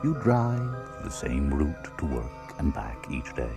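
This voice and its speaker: An actor playing the ominous, knowing host of a radio anthology of uncanny tales, narrating in a deliberate, portentous way. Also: male voice, very deep voice, very animated, exaggerated voice. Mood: quietly menacing. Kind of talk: slow, ominous scripted radio drama narration. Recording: music behind the voice.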